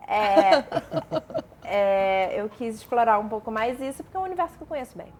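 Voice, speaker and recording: Young Brazilian woman novelist, chatty interview guest, fairly high pitch. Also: expressive, normal speed, darkish tone. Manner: chatty, many fillers and restarts. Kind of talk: casual interview talk.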